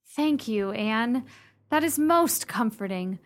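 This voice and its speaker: A woman's voice: a little high, expressive, slow pace. A woman playing a fretful, melodramatic widowed lady in a radio play, genteel, theatrical and easily alarmed.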